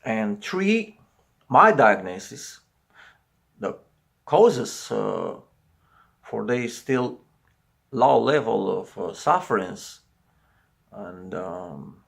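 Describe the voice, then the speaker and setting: Slow, gravelly Romanian-accented man. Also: lowish voice, big pitch swings, fairly bright tone. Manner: halting, searching for words. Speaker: video commentator. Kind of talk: solo video commentary.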